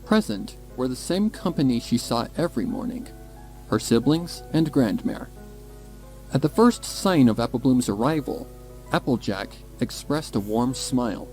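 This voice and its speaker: A man's voice: mid-range voice, expressive, moderate pace, a little mellow. Man narrating a story over faint music. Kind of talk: scripted horror fan fiction narration.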